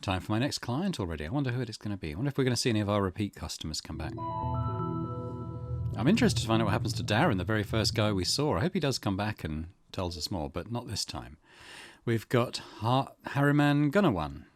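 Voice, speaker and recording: Guy chatting through a let's play, kinda low voice, lots of up and down. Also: sing-song, quick pace, over music. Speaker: gaming channel host. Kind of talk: casual let's play commentary.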